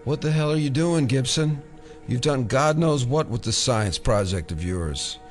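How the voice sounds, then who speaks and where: An actor playing a wry, exasperated federal agent in an audio drama, with dry sarcasm. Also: male voice, mid-range voice, expressive, measured pace, soft background music, lightly acted. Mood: angry, indignant.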